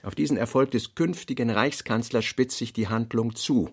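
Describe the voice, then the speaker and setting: A man reading scripted literary notes for a radio play, in measured, fragmentary phrases. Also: medium pitch, breathy edge. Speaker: reader of a writer's working notes.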